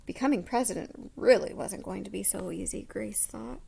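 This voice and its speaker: A woman reading a children's story aloud expressively, with dramatic pauses and hushed asides. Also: mid-range voice, gravelly, noisy background. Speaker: storybook reader.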